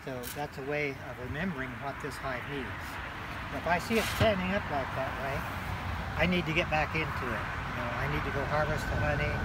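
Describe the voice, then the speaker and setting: Older man teaching outdoors, heavy noise. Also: a little high. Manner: patient, explanatory, repeats points. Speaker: beekeeping mentor. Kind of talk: casual hands-on instruction. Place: outdoors in a grassy field. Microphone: handheld camera a short distance away. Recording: very noisy outdoor phone video.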